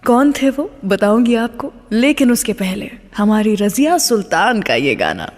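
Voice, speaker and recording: A woman's voice: mid-range voice, very animated, measured pace, a little mellow, big-room sound. A woman with an Indian accent presenting a scripted radio show, addressing listeners directly and mixing in English phrases.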